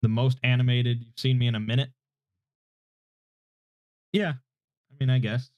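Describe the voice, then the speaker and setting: A calm man in his thirties chats through viewer questions from home, hedging and joking at his own expense. His voice is relaxing and mid-pitched. The desk mic sounds a little muffled, in a dry room with no echo. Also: normal speed. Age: 30s. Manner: relaxed, hesitant, self-deprecating, many fillers. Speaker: YouTube host answering viewer questions. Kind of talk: casual live Q&A chat. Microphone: desk microphone without his usual equalizer, slightly muffled.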